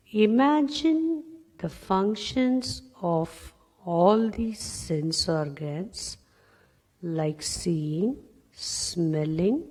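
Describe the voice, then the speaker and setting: A woman with a slightly low voice leads a guided relaxation at an extremely slow pace. She gives calm instructions in short phrases with space between them, and a long reverb trails her voice. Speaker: yoga nidra meditation guide. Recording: long reverberant hall-like echo.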